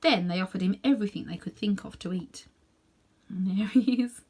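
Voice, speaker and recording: A woman reading a children's book aloud in an animated, dramatic way, sometimes softening toward hushed tones. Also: mid-range voice, a little fast, husky. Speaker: storybook reader. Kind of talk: expressive read-aloud narration for children.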